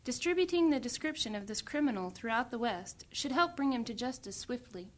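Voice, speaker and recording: A woman's voice: on the high side, animated, a little fast, close to an everyday voice. A woman playing a formal, deferential guild mage in a fantasy audio drama. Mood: polite.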